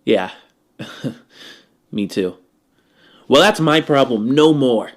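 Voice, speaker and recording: A man's voice: medium pitch, expressive, very slow, no echo, clean studio sound. A man hosting and narrating a tabletop game as game master, with casual, conversational storytelling. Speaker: game master and show host. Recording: background music under voice. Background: music.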